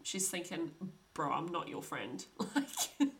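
A woman's voice: lowish voice, very animated, slow, a bit bright. A young woman hosting a podcast, telling a true crime story in a chatty, casual way with frequent laughs. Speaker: podcast host.

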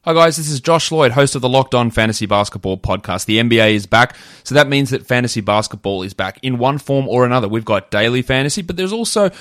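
A male podcast host rattles through a scripted promo at a quick pace. His voice is mid-pitched and recorded close and dry. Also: lively intonation, a bit bright, no echo.

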